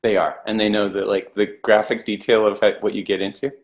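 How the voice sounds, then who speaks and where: A man's voice: lowish voice, little pitch movement, a little fast. A man in his thirties hosting a podcast, asking curious questions into a studio microphone at home. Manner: curious, encouraging with short reactions. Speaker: podcast host. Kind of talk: casual interview chat. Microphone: studio mic with headphones.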